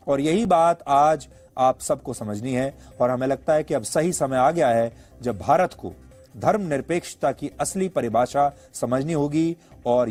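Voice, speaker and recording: A male news anchor with a somewhat high voice delivers editorial commentary. He explains point by point in an emphatic way, posing rhetorical questions to viewers. Music plays faintly behind him. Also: darkish tone.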